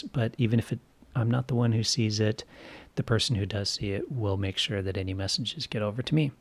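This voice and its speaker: A man's voice: mid-range voice, vocal fry, dry recording. A man hosting a podcast, chatting casually as he interviews a guest. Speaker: podcast host.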